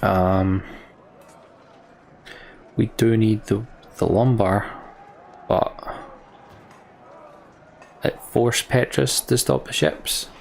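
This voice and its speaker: A man's voice: on the low side, extremely slow, vocal fry, pauses often, a little hiss or hum. A man reading story text from a game aloud and adding casual commentary as he plays. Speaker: let's player.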